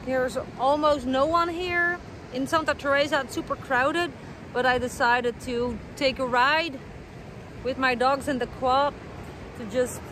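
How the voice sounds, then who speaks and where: A middle-aged woman from the Netherlands with a Dutch accent, chatting casually to the camera outdoors by the ocean, with plenty of fillers as she searches for words. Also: high voice. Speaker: vlogger. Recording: noisy outdoor recording.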